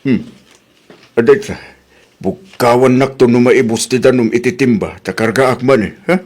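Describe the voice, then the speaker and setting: A man's voice: medium pitch, moderate pace, darkish tone, close to an everyday voice. A man playing an exasperated, blunt older husband with an Ilocano accent in a comedy drama, grumbling and exclaiming.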